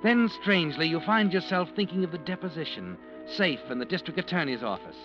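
An actor playing an ominous, sardonic storyteller, narrating a 1940s radio suspense show in the second person on an old recording. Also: male voice, a little high, lively intonation, highs cut off, natural voice. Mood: ominous, suspenseful. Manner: sardonic, deliberate, dramatic. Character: ominous, knowing male storyteller addressing a doomed man. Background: dramatic music.

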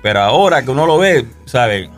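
A man with a Puerto Rican accent gives his testimony on a radio show, speaking in an easy, halting way full of fillers and restarts. Faint music plays softly beneath him. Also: medium pitch. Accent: Puerto Rican Spanish. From Puerto Rico. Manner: relaxed, hesitant, many fillers and restarts. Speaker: guest musician.

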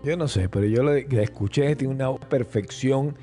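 A man's voice: medium pitch, normal speed, warm tone, faint music behind. A man hosting a casual chat, teasing and asking questions.

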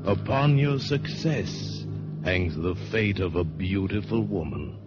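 A man's voice: deep voice, takes it slowly. A man narrating in a dramatic 1940s radio style. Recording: dull 1940s radio, loud music.

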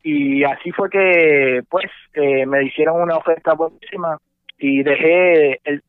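A man's voice: fairly high pitch, measured pace, smooth, no echo. Radio man on a phone line. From born in New York, raised between Puerto Rico and the US. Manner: relaxed, many fillers, mixes English words. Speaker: radio host guest. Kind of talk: casual interview, recounting career. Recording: tinny phone line, dry.

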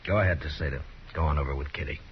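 A man playing a calm, commanding frontier marshal with a dry, unhurried manner in a 1950s radio Western. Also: rumbling low, a little hiss or hum. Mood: firm, commanding. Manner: dry, laconic, unhurried authority. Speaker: lead actor. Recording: muffled 1950s radio recording, crowd behind.

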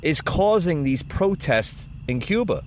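A man's voice: fairly high pitch, sing-song, a little slow. A man hosting an opinion podcast, talking emphatically and often calling his listeners folks. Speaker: podcast host. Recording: dull lo-fi sound with faint noise.

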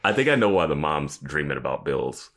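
Really deep voiced guy, super up and down, amused podcast banter, acts baffled. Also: sing-song, a little fast, fairly bright tone. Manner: amused, mock-incredulous. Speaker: podcast co-host. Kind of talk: casual chat, joking commentary.

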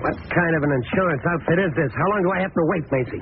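A man's voice: a little high, racing, lightly acted. A man acting in a 1940s radio drama as a crooked, impatient gang boss with a curt, slangy, bullying manner. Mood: irritated. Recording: tinny, noisy old radio recording.

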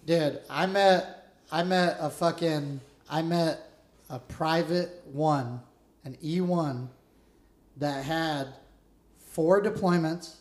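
A man with a loud, carrying voice, hosting a casual podcast and telling military stories in blunt, profane slang. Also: on the high side, slow, long pauses, echoey room. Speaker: podcast host interviewing a fellow veteran.

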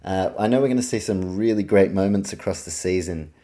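A man's voice: low voice, brisk, warm tone. A man with a New Zealand accent giving a casual, opinionated solo sports preview as a podcast host, informal and sweary. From Auckland, New Zealand.

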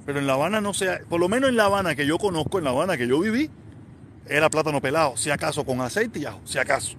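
A Cuban man in his forties talks in a rambling, repetitive way and answers comments as they come in. His voice sits a little high for a man. A faint noise runs underneath. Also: expressive, racing, fairly bright tone. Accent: Cuban, Havana. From Cuba. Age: 40s. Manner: rambling, repeats himself, answers viewer comments. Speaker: live streamer. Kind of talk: casual live stream chat.

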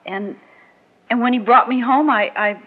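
Breathy, smitten young woman, old radio. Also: fairly high pitch, measured pace. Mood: nervous longing. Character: shy, smitten young small-town woman, softly forward. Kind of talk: old-time radio drama acting. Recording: muffled vintage radio recording.

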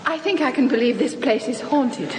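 An actress playing a squeamish, disapproving companion in a radio drama, prim and uneasy. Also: female voice, sits high, animated, normal speed. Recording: echoey radio recording with clear noise.

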